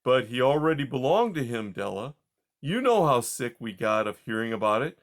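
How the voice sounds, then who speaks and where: A man voicing a calm husband who soothes his wife in a measured, firm, somewhat patronizing way. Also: medium pitch.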